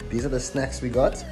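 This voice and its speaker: A young man from South Africa talks through a food tasting in an easygoing, slangy way. He is filmed on a handheld phone camera as he eats and reacts. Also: mid-range voice. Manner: laid-back, slangy, enthusiastic. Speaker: vlogger. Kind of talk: casual food tasting chat. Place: restaurant, then home living room.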